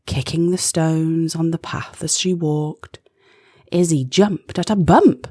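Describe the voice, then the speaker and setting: A woman reads a children's story in verse, slowly and with dramatic phrasing. Her voice is on the low side and breathy, and it moves expressively up and down. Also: warm tone, dry recording. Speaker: children's audiobook narrator. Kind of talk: expressive rhyming storybook narration.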